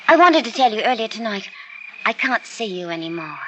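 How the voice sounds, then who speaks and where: A young woman playing a sweet girl who is firm but gentle, in a vintage radio drama. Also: medium pitch, very animated, fairly quick, lightly acted. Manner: firm yet gentle, matter-of-fact. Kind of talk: 1940s radio acting. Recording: old radio drama with noticeable hiss.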